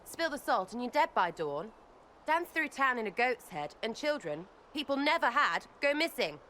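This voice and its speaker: A scrappy young female archer with a British accent in a video game. Her bright, forceful voice swoops around, blunt, restless and irreverent. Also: on the high side, big pitch swings, normal speed, slight background noise. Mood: cheeky. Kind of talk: video game dialogue acting.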